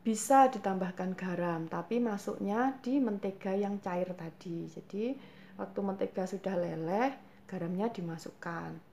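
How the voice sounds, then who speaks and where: A woman's voice: mid-range voice, unhurried, vocal fry, dark tone, slight background noise. Javanese-accented woman explaining baking. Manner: patient, explanatory, frequent hesitation fillers, addresses viewers as mothers. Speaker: cooking channel host. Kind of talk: home baking tutorial answering viewer questions.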